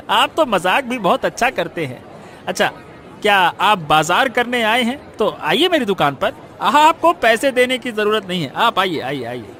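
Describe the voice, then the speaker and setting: A man voicing a greedy, two-faced village shopkeeper in an animated moral story, with an oily, exaggerated manner. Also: high voice, measured pace, a little hiss or hum, heavily acted. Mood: fawning, wheedling. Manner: obsequious, wheedling with chuckles, turning snide.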